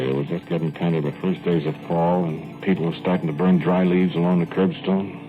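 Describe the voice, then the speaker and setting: An actor playing a young, easygoing small-town plumber who also narrates, in a folksy drawl, 1940s radio drama style. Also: male voice, low-pitched, lightly acted. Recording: tinny old radio broadcast with faint music.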